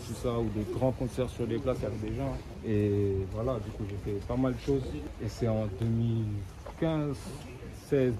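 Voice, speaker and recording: A man's voice: on the low side, rough recording. A young man, a rapper raised in Germany, chatting casually outdoors on city streets, laid-back and slangy with constant filler tags. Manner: relaxed slangy talk with frequent tags. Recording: loud street noise with traffic.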